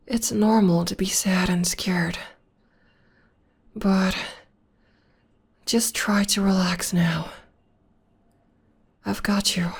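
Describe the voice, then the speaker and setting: A young woman voicing a gentle, devoted witch girlfriend in an ASMR comfort roleplay, speaking softly and often in a whisper. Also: medium pitch, steady tone, drawn-out words, vocal fry, long pauses, slightly rough, heavily acted. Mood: tender, soothing. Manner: soft, soothing, often whispering. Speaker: voice actor.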